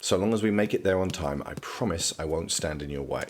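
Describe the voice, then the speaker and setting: Casting: actor for reserved, exasperated young prince, very low pitch, clipped formal delivery, audio drama, clear noise behind. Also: male voice, brisk, natural voice. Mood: dry, stern.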